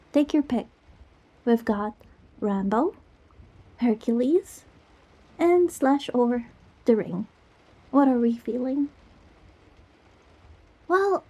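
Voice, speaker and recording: A woman's voice: on the high side, dark tone. A woman playing a goofy, affectionate young girlfriend in an intimate ASMR roleplay, with a playful, teasing manner.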